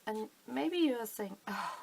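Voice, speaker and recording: Slow, gravelly Danish woman crafting. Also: a little high, lively intonation, slight background noise. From Denmark. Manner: unhurried, thinking aloud with many fillers. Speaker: crafting channel host. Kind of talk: casual craft tutorial. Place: indoors at a table, hands on camera.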